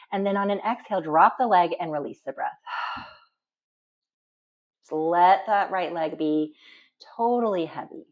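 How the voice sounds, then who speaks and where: A woman with a lowish voice leads a guided relaxation as a yoga instructor. She gives calm, step-by-step instructions and leaves space between phrases. The recording sounds dull and slightly muffled. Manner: calm instructional, with slow pauses and audible breath releases.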